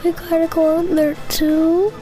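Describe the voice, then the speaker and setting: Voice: extremely high pitch, level tone, unhurried, dark tone, faint music behind, poor audio. A performer playing a small, whiny, anxious pirate puppy in an audio drama.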